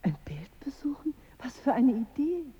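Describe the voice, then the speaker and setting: High lilting female voice, polite curious chambermaid, breathy, radio play, long reverb. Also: very animated, moderate pace, heavily acted. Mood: surprised. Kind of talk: radio drama acting. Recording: reverberant, dull sound.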